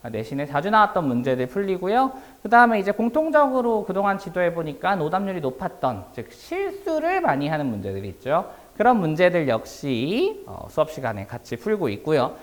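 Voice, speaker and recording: A male academy instructor walks listeners through a prepared class presentation. His voice is a little hoarse and worn, and his pitch moves widely as he explains things politely and formally. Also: huge pitch swings, fairly quick, hardly any pauses.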